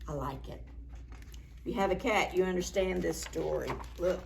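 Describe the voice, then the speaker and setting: A woman storyteller reading a picture book aloud to young children in a playful, dramatic, expressive way. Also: fairly low pitch, normal speed, vocal fry, slight background noise. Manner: animated, playful, dramatic reading with gasps and exclamations; addresses young children with simple questions.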